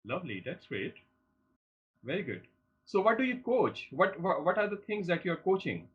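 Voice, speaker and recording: Male studio host, high and husky, eager and repetitive, faint music underneath. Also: big pitch swings, moderate pace, muffled recording. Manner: repeats and restarts phrases, upbeat promo pitch. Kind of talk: studio interview.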